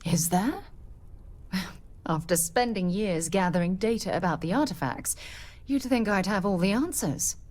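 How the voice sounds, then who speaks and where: A woman playing an earnest, thoughtful leader of space explorers in a video game, measured and slightly reserved. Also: medium pitch, animated, a little mellow. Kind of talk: video game dialogue acting.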